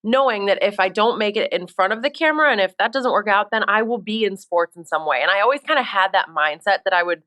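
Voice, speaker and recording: A woman's voice: medium pitch, fast talker, few pauses, sharp tone. A woman in her thirties from Minnesota, a sports reporter chatting as an interview guest, upbeat and self-deprecating. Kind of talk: casual interview.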